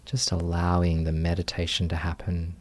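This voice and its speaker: A man leading a guided meditation as a teacher, speaking gently in short phrases with unhurried pauses. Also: low voice, monotone.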